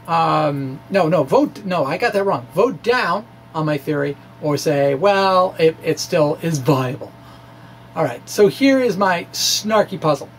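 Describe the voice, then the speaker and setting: A man presenting a physics lecture informally, with animated emphasis and a halting delivery full of stammered restarts. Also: on the high side, slight background noise. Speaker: amateur physicist presenting his own theory. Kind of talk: informal physics lecture, reading of a blog talk.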